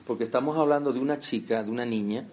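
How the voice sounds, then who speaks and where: A man's voice: medium pitch, quick pace, darkish tone. Chatty preacher, man. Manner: conversational, addresses listeners directly with rhetorical questions. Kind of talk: sermon.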